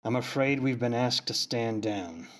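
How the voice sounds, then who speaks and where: A man's voice: medium pitch, measured pace, natural voice. Terse, guarded police captain played by a man. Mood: resigned. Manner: terse, sceptical. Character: male American police captain, guarded, sceptical detective. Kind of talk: audio play acting.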